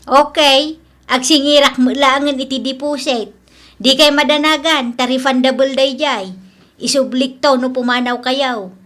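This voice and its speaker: Woman acting a shrill, bossy landlady. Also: steady tone, a little slow, a bit bright. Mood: businesslike, bossy. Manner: scolding, nagging, emphatic. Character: bossy, grumbling, money-minded older landlady. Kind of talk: Ilocano radio comedy drama acting.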